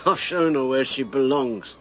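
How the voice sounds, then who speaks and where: An actor playing a brash, volatile election candidate and ex-army major in a radio drama, defiant and agitated. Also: male voice, mid-range voice, moderate pace, natural voice. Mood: drunken gloating.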